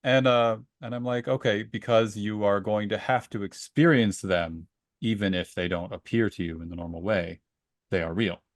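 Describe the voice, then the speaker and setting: Guy on a podcast chatting and retelling stuff, kinda low voice, dry recording, does the dialogue. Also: fairly even intonation, normal speed, no echo. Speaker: podcast co-host and story reader. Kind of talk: casual book discussion with personal anecdotes.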